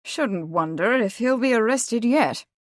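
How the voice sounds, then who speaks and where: A woman voicing an affable, well-bred young gentleman in an audiobook, with polite, clipped, old-fashioned phrasing. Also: on the high side, expressive, measured pace, dry recording, clean studio sound. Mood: explanatory.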